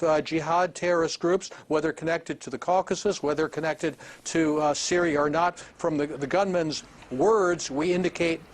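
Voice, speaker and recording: A man, a former diplomat, giving slow, deliberate analysis in a live remote interview, often restarting his sentences. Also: a little high. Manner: measured, deliberate, with frequent restarts. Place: remote studio.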